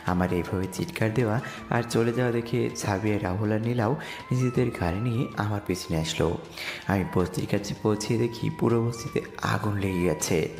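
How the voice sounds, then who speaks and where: A man's voice: on the low side, moderate pace, a little mellow. A young man narrating a dramatised story in the first person. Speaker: narrator and lead voice actor. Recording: echoey reverb with music behind.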